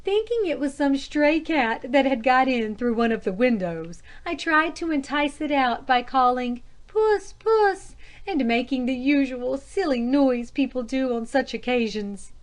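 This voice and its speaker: A woman's voice: high-pitched, lively intonation, a little slow. A woman voicing a nervous, dramatic wife and mother in a storybook ghost tale. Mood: earnest recounting.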